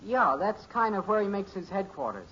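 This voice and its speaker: An excitable stubborn teen son, bright voice, old radio sitcom, muffled hissy recording. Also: male voice, high-pitched, normal speed, exaggerated voice. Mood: confident. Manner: earnest, excitable, slangy. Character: eager, naive, stubbornly optimistic teenage son. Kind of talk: 1950s radio sitcom acting.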